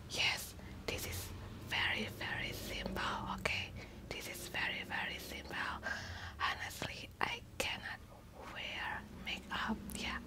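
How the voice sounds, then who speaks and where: A young woman whispering slowly and softly for an ASMR video, repeating short simple phrases close to the mic in a small room. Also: extremely low pitch. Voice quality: soft unvoiced whisper. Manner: simple repetitive phrasing, slow and halting. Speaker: ASMR creator.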